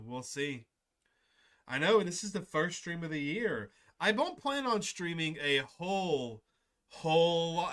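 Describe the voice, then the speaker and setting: A man's voice: medium pitch, sharp tone. A man streaming from home on a desk microphone, chatting casually and upbeat with his viewers, rambling with restarts. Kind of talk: casual livestream chat.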